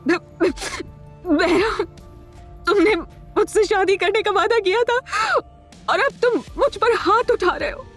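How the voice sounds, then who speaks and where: A woman's voice: mid-range voice, expressive, a little slow, faint music behind. An actress playing a proud, hot-tempered, scorned young woman in an audio drama, with an emotional, melodramatic delivery. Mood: tearful, wounded. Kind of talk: Hindi audio drama acting.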